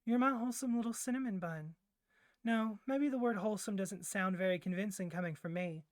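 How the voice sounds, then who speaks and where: A woman voicing a doting, clingy young yandere boyfriend kidnapper in a soft ASMR roleplay, gushing and coaxing, with flustered hesitations. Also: medium pitch, a little fast, dry recording, clean studio sound, natural voice. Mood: adoring, firm.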